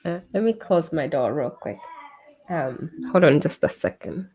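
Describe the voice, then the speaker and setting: A woman with a hoarse voice from being sick, hosting a casual live-streamed interview over a video call. She giggles often and chats loosely with many fillers. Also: sits low, expressive, a little slow, dark tone, slight background noise. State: hoarse from illness. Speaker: interview host. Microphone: computer mic on a video call.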